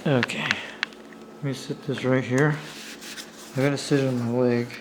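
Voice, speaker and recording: A man with a medium-pitched voice talks casually and without a script, like a hobbyist showing off his gear. His delivery is halting and apologetic as he talks himself through what he is doing. The sound is amateur camera audio recorded indoors, with faint noise. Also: flat delivery. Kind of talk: casual unscripted show-and-tell. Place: indoors at a table. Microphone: handheld camera's own microphone.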